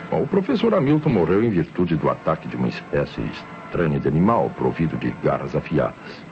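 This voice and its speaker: A methodical Brazilian police commissioner in a vintage radio serial. The voice is low and expressive, explaining things deliberately and formally through a muffled old recording. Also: male voice, over music, heavily acted. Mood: grave, puzzled. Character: male police commissioner, thoughtful, methodical investigator.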